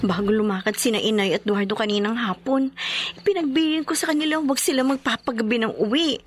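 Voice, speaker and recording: A woman's voice: a little high, quick pace, natural voice. A woman playing a terrified, anxious young wife in a radio horror drama, with panicky exclamations. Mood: uneasy. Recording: loud music behind.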